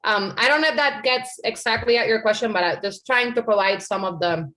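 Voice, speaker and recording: A woman's voice: a little high, fairly quick, a bit bright, poor audio. A woman from Puerto Rico answering questions on a panel, earnest and conversational, often adding "like" and "right?". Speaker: panel speaker.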